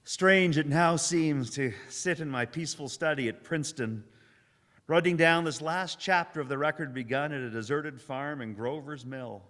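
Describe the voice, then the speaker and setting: An actor playing a haunted professor who survived an alien invasion, narrating solemnly and theatrically in a live radio drama monologue. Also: male voice, a little high, a bit bright, natural voice. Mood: reflective, solemn. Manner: solemn, theatrical, deliberate phrasing with suspenseful repetition. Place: live performance in a church hall. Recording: echoey hall, live stage recording.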